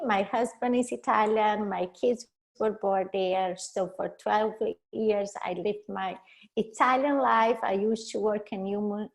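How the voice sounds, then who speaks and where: Slow Brazilian-accented woman presenting. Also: medium pitch, poor audio. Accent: Brazilian Portuguese. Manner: halting, restarts words and self-corrects. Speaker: leadership coach presenting a webinar. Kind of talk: webinar presentation.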